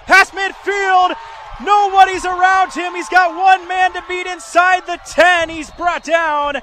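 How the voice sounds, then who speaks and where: A man doing excitable live radio play-by-play of a football game, building from steady calls to shouts. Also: very high voice, a little slow. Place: outdoor football stadium. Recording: noisy live outdoor stadium broadcast.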